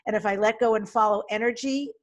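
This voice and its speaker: A woman's voice: fairly high pitch, steady tone, fast. A middle-aged woman hosting a podcast interview from a room at home, speaking into a desk microphone on a video call in an upbeat, enthusiastic way. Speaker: podcast host. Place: home room with folding screen. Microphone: studio desk mic in front, video call.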